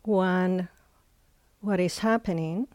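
Woman meditation teacher, really slow w long gaps, soft-edged mellow tone, dry close sound. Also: on the low side, no room sound. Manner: unhurried, with long pauses between short phrases. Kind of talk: guided meditation instruction.

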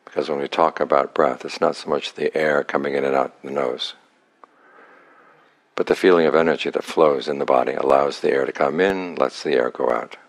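A man with an exceptionally deep voice guides a meditation. He speaks as a teacher, calm and unhurried, setting out short instructions and leaving long pauses between them. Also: creaky.

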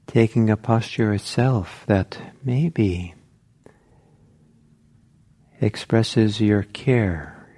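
A man teaching meditation in a gentle, reflective, halting way, leaving long pauses between short phrases. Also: fairly low pitch. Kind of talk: guided meditation talk.

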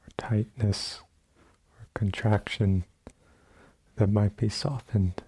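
Slow, husky, mellow man guiding meditation. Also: on the low side, fairly even intonation. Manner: gentle, sparse phrases with long pauses. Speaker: meditation teacher. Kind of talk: guided meditation. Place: meditation center hall.

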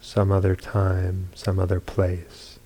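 A man leading a guided meditation as a teacher, speaking in short, measured phrases with long pauses between them. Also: low voice, monotone, mellow. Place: meditation retreat hall.

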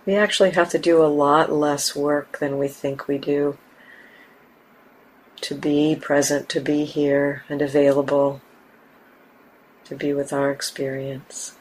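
A woman teaching a guided meditation in a gentle, unhurried manner, leaving long pauses between short phrases. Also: sits low, rough recording. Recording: steady background hiss and room ambience.

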